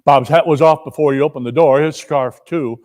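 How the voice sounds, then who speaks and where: High-voiced male dramatic narrator. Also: steady tone, fairly quick, a little mellow, long reverb tail. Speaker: story reader and performer.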